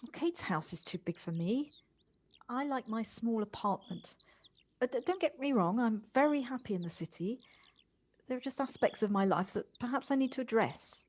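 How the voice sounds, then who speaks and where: A woman playing a gentle, wistful city dweller in an audio drama, speaking in a halting, hesitant way. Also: fairly high pitch, expressive. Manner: halting, hesitant, repeats words. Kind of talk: naturalistic audio drama acting.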